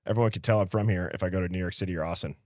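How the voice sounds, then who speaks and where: A man in his early thirties with an American accent and a low-pitched voice hosts a live stream. He reads out comments and rambles off into casual asides. The sound is dry, with very little room around the voice. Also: steady tone, racing, a little mellow, no echo. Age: early 30s. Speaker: livestream host.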